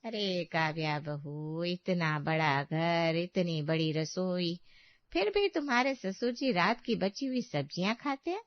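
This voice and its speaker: A woman's voice: fairly low pitch, slow pace, no room sound, highs cut off. A woman playing a meddling, disapproving middle-aged aunt in a family story, pointed and mock-sympathetic in manner. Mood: taunting. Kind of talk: acted dialogue in a Hindi family story.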